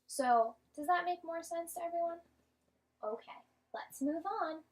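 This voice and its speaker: A woman teacher giving an interactive storybook read-aloud to young children, expressive and patient, often asking and explaining. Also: extremely high pitch, measured pace.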